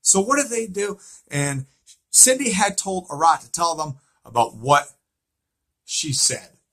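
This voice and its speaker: A man delivers informal fan commentary in a forceful, slightly high voice with a rough husky edge. He is emphatic and repetitive, leaning on rhetorical questions as he works through his recap. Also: animated, measured pace.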